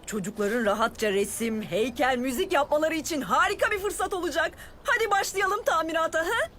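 A woman's voice: sits high, brisk, a bit bright, slight background noise. A woman voicing a cheerful, practical mother in a dubbed cartoon. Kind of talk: cartoon dubbing.

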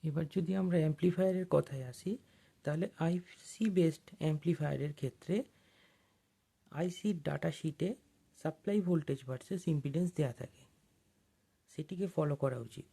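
Mellow tutorial voice, slightly husky and gravelly. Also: mid-range voice, fairly quick, long pauses. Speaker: instructor explaining electronics. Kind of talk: informal technical tutorial narration over datasheets.

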